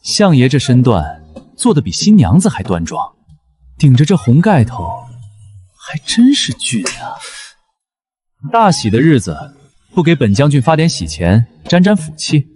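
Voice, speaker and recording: A man playing a brash, hot-tempered, teasing general in a period animated drama, cocky and blunt. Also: medium pitch, a little slow, faint music behind. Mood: mocking.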